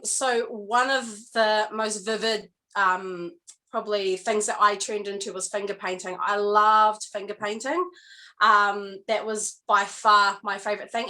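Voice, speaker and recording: A woman with a New Zealand accent, a guest artist talking in a casual interview, earnest and reflective and halting with many pauses. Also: mid-range voice, sharp tone.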